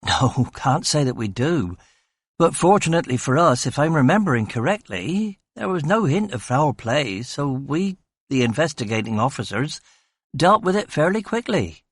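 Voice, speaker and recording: A man voicing an eager, talkative young male police constable, speaking in a brisk, breezy way. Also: a little high, very animated, husky, dry recording, clean studio sound, heavily acted.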